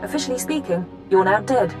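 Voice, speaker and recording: An actress playing a businesslike, dry-witted intelligence handler in a video game. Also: female voice, mid-range voice, animated, brisk, music in the background, close to an everyday voice. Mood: brisk, dry.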